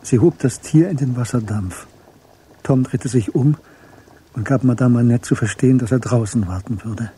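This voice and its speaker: The composed narrator of a crime radio play. His voice is very dark and breathy, middle in pitch, and he reads with measured understatement. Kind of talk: scripted radio drama narration.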